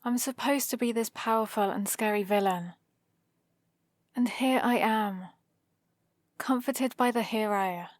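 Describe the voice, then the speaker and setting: A female supervillain played by a woman with a British accent and a fairly high voice. She speaks very slowly, hesitant and defensive, with a soft exasperation that betrays her care for the hero. It is an ASMR roleplay, recorded dry with no room sound. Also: no room sound, close to an everyday voice. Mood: vulnerable.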